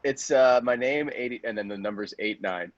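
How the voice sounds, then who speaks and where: A man's voice: mid-range voice, moderate pace, dry recording. A man in casual, slangy, self-deprecating conversation as a podcast cast member. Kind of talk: casual chat. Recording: muffled, dull lo-fi podcast audio.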